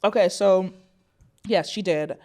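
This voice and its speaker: A woman in her twenties, a guest in a podcast studio, telling a personal story in an animated way and acting out what others said. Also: medium pitch, takes it slowly. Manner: animated, acts out quotes, frequent "like" fillers.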